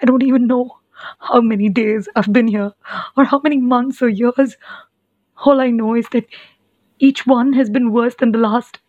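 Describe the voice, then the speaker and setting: Distraught young wife for audio drama, played by an actress: high, emotional, pleading; dry, slightly dull recording. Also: female voice, steady tone, moderate pace, no echo, natural voice. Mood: weary relief. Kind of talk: audio play acting.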